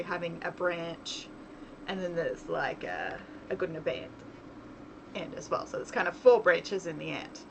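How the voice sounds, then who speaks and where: A woman livestreaming casually as she works, thinking aloud in a hesitant way, trailing off and half talking to herself. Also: lowish voice. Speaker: livestream host and visual novel developer. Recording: clearly noisy livestream with constant background ambience.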